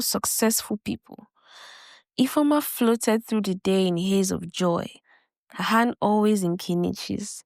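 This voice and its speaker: A woman's voice: medium pitch, no room sound, studio quality. A woman storyteller reading a scripted tale as narration. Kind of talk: scripted folktale narration with acted dialogue.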